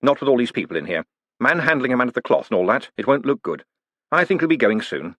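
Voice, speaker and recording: A man voicing a quick-witted young male swindler, smooth and glib. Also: mid-range voice, big pitch swings, a bit bright, no room sound, voice pushed up. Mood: urgent.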